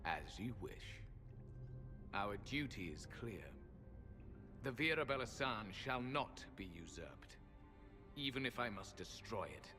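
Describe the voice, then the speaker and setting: An actor voicing an ancient elven sentinel leader in a video game, solemn, weary and guarded, with formal, measured phrasing. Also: male voice, fairly low pitch, sing-song. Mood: grave, resolute. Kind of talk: dramatic video game dialogue. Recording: music underneath.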